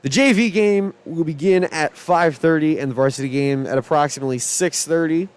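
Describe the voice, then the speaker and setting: A man calling high school volleyball play by play, shouting excitedly over rallies, his voice starting to go. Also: a little fast, clear, resonant tone. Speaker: play-by-play announcer.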